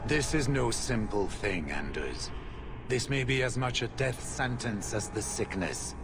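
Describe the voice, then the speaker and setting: A man's voice: mid-range voice, expressive, unhurried. A man playing a grave, formal veteran warrior of a solemn order in a fantasy game, with noisy ambience around him. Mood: grave, firm. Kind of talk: cinematic fantasy game dialogue.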